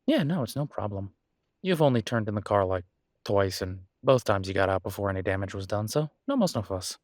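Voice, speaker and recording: Caring, laid-back boyfriend played by a man for intimate roleplay audio: slightly deep, conversational, soothing, very dry recording. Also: animated, a little fast, dry recording, close to an everyday voice. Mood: caring, relaxed. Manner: casual, hesitant, gently reassuring. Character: caring, easygoing human boyfriend of a werewolf, reassuring.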